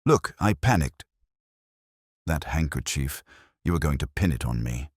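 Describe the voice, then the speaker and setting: A man's voice: rumbling low, lively intonation, slightly rough, dry recording, studio quality, exaggerated voice. A man doing a flustered, defensive publican. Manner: hurried, pleading, defensive.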